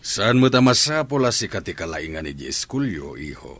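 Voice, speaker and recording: A man's voice: sits low, big pitch swings, normal speed, fairly bright tone, full character voice. A man playing a strict middle-aged father in a radio drama, firm and commanding. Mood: measured, firm.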